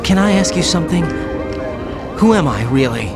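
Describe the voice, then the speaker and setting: A young, uncertain soldier. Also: male voice, high-pitched, very animated, moderate pace. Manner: hesitant, questioning. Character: young male soldier, unsure of his identity. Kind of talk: video game cutscene acting. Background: loud orchestral music.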